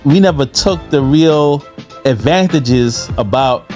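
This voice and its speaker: A man's voice: on the high side, takes it slowly, darkish tone, faint music behind. A man chatting as a podcast co-host, often repeating words as he searches for his phrasing. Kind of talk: casual podcast conversation.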